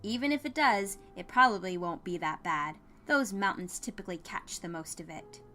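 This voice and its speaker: A woman's voice: mid-range voice, animated, moderate pace, pushed and bright. A woman narrator puts on a small-town male clerk for an audio story. He is casual, helpful and apologetic, explaining things matter-of-factly, with music in the background. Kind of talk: dramatized audiobook character dialogue.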